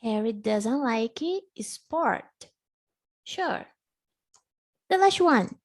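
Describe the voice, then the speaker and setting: Brief: English teacher, Portuguese native, measured, patient repetition, unhurried delivery. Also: female voice, on the high side, lively intonation. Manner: patient, slow drilling, repeats words for the student. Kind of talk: live online English lesson.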